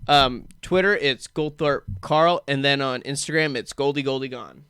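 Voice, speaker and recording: A man's voice: mid-range voice, normal speed, fairly bright tone, clear, resonant tone, no room sound. A man who is a comedian guest on a radio show, riffing jokes in casual chat in a studio. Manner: jokey, riffing with playful bits.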